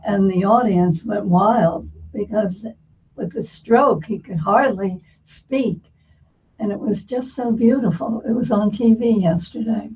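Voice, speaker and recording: A woman's voice: medium pitch, measured pace, a little mellow. An older woman talking casually in conversation. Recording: dull, distant mic, faint noise.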